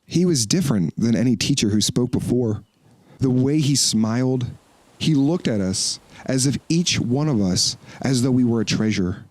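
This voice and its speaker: An actor playing a wary, questioning disciple and builder in an audio drama, speaking with earnest intensity. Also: male voice, mid-range voice, lively intonation, normal speed, darkish tone, no echo, lightly acted. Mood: earnest recollection.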